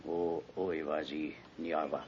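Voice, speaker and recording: A man playing a grim warrior holding captives in a 1950s radio western, speaking in terse, deliberate phrases. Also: a bass voice, little pitch movement, darkish tone. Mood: stern. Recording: dull, noisy 1950s radio recording.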